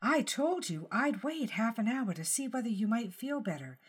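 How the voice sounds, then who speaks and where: A woman's voice: fairly high pitch, sing-song, normal speed, husky. An anxious elderly wife from an audiobook story, as a woman narrator plays her. She explains herself earnestly and hesitantly, with a wistful, absent air. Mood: earnest recollection. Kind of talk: audiobook character dialogue.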